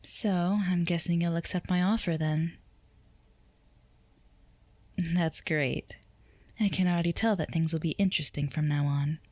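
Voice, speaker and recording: A woman playing a flirtatious, self-assured female boss in an intimate roleplay ASMR drama, speaking in a soft murmur that slips into whispers, teasing and commanding. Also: fairly low pitch, fairly even intonation, measured pace, pauses often, no room sound, close to an everyday voice. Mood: pleased, composed.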